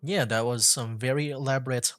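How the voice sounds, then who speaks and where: A man's voice: mid-range voice, steady tone, brisk, a bit bright, faint music behind. A man giving casual, amused commentary while streaming a video game. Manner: chatty, amused.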